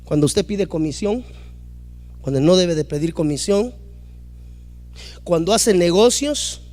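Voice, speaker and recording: High-voiced man preaching emphatically. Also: a little slow, soft background music. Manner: emphatic, rising to loud exclamations, acting out quoted dialogue. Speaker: pastor. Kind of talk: preaching a sermon.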